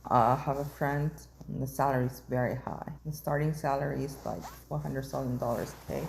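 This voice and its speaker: A woman's voice: a bass voice, steady tone, brisk, creaky, darkish tone, slight background noise, low-quality recording. A woman interviewer chatting casually with her guest, asking questions and sharing remarks. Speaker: interviewer, channel host.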